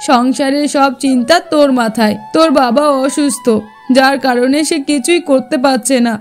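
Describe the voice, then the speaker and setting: A woman's voice: high voice, fairly even intonation, moderate pace, soft background music, close to an everyday voice. A woman voicing a protective village mother with a worried, pleading manner in a cartoon fairy tale.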